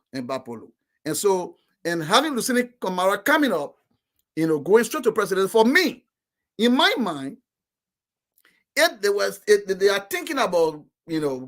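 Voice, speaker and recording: A man's voice: high voice, fairly bright tone. A man from Liberia with a Liberian English accent, giving emphatic, insistent explanations in a casual discussion. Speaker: political commentator.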